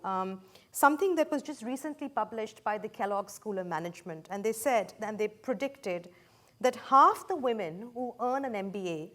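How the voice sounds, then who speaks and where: A woman moderating a panel discussion, measured and questioning, citing statistics to her guests. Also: a little high, a bit bright.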